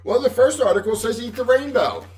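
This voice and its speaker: A loud-voiced man chats casually as host of a livestream, rambling along and chuckling as he corrects himself. His voice moves up and down in a lively way. He sits back from a webcam mic in an echoey home room. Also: very high voice, a little fast, far from the mic, faint music behind.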